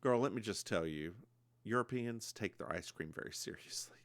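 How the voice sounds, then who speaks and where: A man's voice: on the low side, moderate pace, no room sound. A man co-hosting a podcast, recapping a TV episode in casual chat and slipping into voices when he quotes characters. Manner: animated storyteller, slips into voices when quoting.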